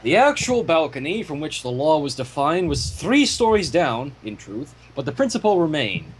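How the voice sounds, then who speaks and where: A man's voice: on the high side, sing-song, moderate pace, slight background noise. A man hosting a fan fiction reading, delivering the story with irreverent, profane asides. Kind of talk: story reading with casual commentary.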